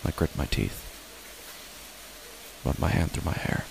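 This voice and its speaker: A low, gravelly male actor as a father tells his own horror story in an audio drama. He is uneasy and brooding, with halting phrases. Noticeable ambient noise sits behind his voice. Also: expressive, heavily acted. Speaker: narrator and lead character of a horror audio drama. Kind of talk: first-person horror audio drama narration.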